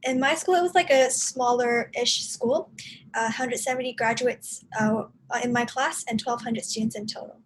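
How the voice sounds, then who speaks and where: A young woman who grew up in Hong Kong introducing herself as a student, pausing often with "uh". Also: fairly high pitch, slight background noise, rough recording. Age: young adult. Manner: hesitant, frequent "uh". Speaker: student panelist. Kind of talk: casual introduction.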